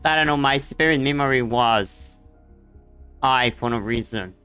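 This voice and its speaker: A man's voice: mid-range voice, measured pace, pushed and bright, clear, resonant tone, no echo, soft background music. A man livestreaming a video game with casual, sweary commentary. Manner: casual swearing, muttering exasperation.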